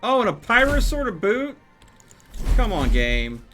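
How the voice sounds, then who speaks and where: A man's voice: high-pitched, huge pitch swings, a little slow, bright, forceful tone. A man giving casual live gameplay commentary, thinking aloud with playful exclamations. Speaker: video game streamer. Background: video game music.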